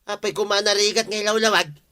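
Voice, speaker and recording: Actor as sharp-voiced, aggrieved old Ilocano watchman. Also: sits high, fairly even intonation, brisk. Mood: sulky, complaining. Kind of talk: Ilocano radio comedy drama acting.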